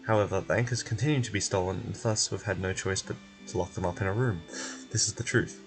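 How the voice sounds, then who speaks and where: A man's voice: fairly low pitch, quick pace, music in the background, close to an everyday voice, rough recording. Man playing a stern, clinical game scientist. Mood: exasperated lecturing. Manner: formal, clinical, exasperated. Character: stern, blunt, meticulous research institute scientist.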